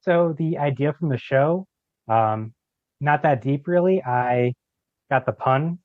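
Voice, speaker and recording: A man's voice: medium pitch, slow, no room sound. Casual male guest, slightly dull dry recording. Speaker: audio drama creator, podcast guest. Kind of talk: casual chat. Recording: slightly dull lo-fi microphone sound.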